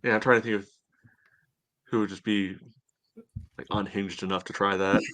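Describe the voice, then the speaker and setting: A man's voice: on the low side, steady tone, moderate pace, a bit bright. A man co-hosting a podcast, chatting casually as a sports fan.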